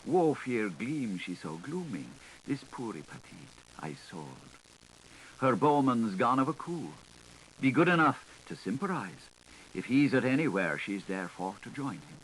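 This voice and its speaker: Male literary narrator, theatrical and highly varied intonation, singsong phrasing, faint hiss of a 1980s recording. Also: very animated, unhurried, fairly bright tone, rough recording. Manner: theatrical, lilting, singsong delivery. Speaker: audiobook narrator. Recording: hissy 1980s audiobook recording.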